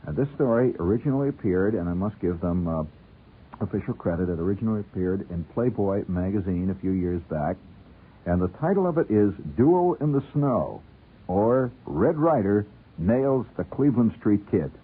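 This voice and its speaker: A man's voice: fairly low pitch, lively intonation, normal speed, slightly rough. A middle-aged American man hosting a radio show and telling a humorous story conversationally, with a wry, theatrical manner that savors ornate wording. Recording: muffled narrow-band old radio broadcast.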